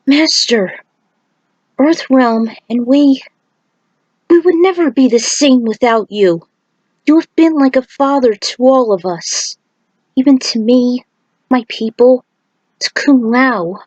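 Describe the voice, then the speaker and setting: An emotional young warrior woman acted by a female narrator speaks in a raised pitch. She is earnest and devoted, a storybook heroine in a dry recording. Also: takes it slowly, pauses often, darkish tone, breathy edge, dry recording, muffled recording, exaggerated voice. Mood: tearful, pleading.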